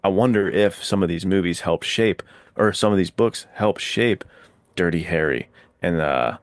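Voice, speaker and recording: A man's voice: low-pitched, moderate pace, no echo, clean studio sound. A man hosting a book podcast, chatting casually and enthusiastically with informal, dude-ish slang. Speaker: podcast host, writer.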